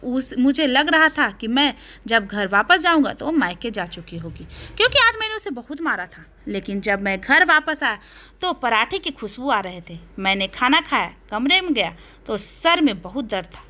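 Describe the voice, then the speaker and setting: A woman narrating a dramatic first-person audio story in an emotional, expressive way. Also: on the high side, moderate pace.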